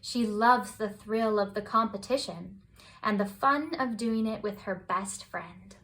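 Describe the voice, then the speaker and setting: A woman reading a children's picture book aloud as scripted bedtime narration, with expressive, deliberate phrasing. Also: medium pitch, rough recording. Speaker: children's storybook reader.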